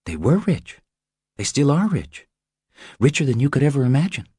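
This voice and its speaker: Man voicing a hushed, weary young mother, high-pitched. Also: sing-song, moderate pace, breathy edge, dry recording, studio quality. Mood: bitter. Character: weary, determined, frightened young mother, soft-spoken woman.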